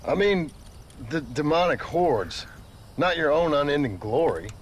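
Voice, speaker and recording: An actor playing a dry, weary American frontier gunman, terse and deadpan, in a video game cutscene. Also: fairly high pitch, unhurried, slight background noise. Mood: wry.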